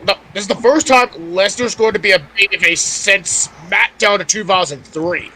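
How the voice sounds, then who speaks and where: A man with a high, bright voice argues his point on a casual podcast. He repeats himself insistently over faint background noise. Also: moderate pace, low-quality recording. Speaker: podcast panelist. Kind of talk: casual sports chat.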